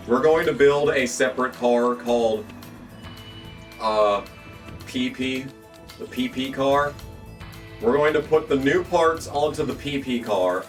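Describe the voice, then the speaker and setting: Young guy going hoarse, yelling and cursing over a racing game, bit far off the mic with music playing. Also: medium pitch. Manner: excitable, swears often, jumps into yelps when the race turns. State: losing his voice. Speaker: gaming channel host. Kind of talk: gameplay commentary.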